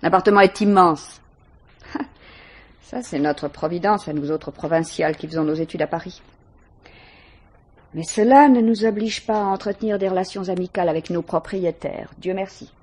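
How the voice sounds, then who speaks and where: An actress playing a guarded, disdainful young student, curt and defensive, in a 1950s French radio mystery. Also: female voice, on the low side, moderate pace, pauses often, a little mellow, natural voice.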